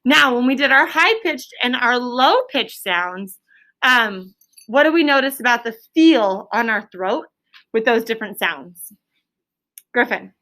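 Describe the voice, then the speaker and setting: Bright, high-voiced woman teaching a class. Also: animated, measured pace. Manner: firm, directive, quizzing pupils. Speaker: elementary school teacher. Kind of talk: classroom lesson with question and answer. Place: classroom with pupils answering.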